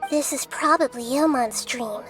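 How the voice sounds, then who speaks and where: Woman as a high-voiced, wise little goddess. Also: natural voice. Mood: measured. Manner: gentle, measured, explanatory. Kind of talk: anime game voice acting. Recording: music behind the voice.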